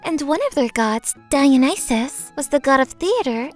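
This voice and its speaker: Girl doing a high lilting cartoon princess, polite and earnest, music behind. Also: expressive, normal speed. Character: sweet, polite young cartoon princess, gently brave. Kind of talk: animated fan cartoon acting.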